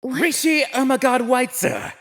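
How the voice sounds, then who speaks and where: A man's voice: very high-pitched, wildly swooping pitch, unhurried, a bit bright, exaggerated voice. A man playing a haughty, scornful young crown prince in an anime dub, pompous and theatrical. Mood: accusing, imperious.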